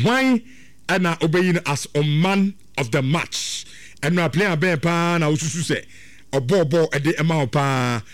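A man's voice: on the high side, no room sound. A man with a Ghanaian accent hosting a sports talk show, mixing Twi and English and repeating phrases. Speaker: radio sports show host. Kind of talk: casual sports chat.

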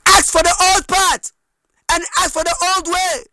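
High-pitched Ghanaian man preaching emphatically. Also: fairly even intonation, moderate pace, soft background music. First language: Twi. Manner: emphatic, repetitive, rhetorical questions, swinging into shouts and chant.

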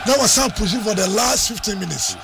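A man's voice: high-pitched, animated, fairly quick, creaky, background noise. A man with a Ghanaian accent giving football analysis on radio, explaining tactics while mixing Twi and English. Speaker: football pundit.

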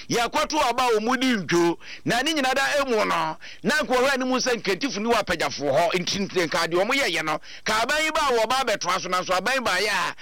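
A man with a Ghanaian accent presenting radio news commentary in a lively, emphatic way. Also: high-pitched, no echo.

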